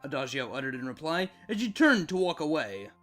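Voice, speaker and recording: High-pitched, animated male story narrator. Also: fairly quick, faint music behind.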